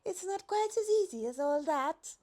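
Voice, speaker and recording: Woman voicing a high, polite, excitable lady giraffe. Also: normal speed. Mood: apologetic, polite. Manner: gushing, exclaiming.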